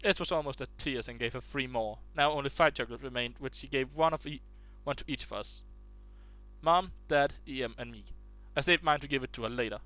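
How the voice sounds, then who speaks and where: A man's voice: medium pitch, fairly quick, pushed and bright, no echo, poor audio. A man reading online posts aloud in a casual way, stumbling and restarting words as he goes.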